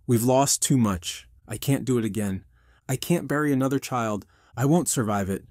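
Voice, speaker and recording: A man's voice: medium pitch, normal speed, a little mellow, dry recording, clean studio sound, lightly acted. A man voicing an anguished army veteran who runs a restaurant, narrating his own story in an intense, earnest audio drama style. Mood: urgent, desperate. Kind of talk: dramatic first-person scripted narration.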